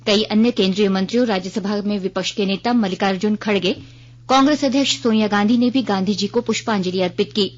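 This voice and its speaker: A woman reads the news in a formal, measured announcer's manner. Her pitch is mid-range, and the broadcast sound is a little dull and band-limited. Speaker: radio news reader. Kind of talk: scripted Hindi news bulletin reading.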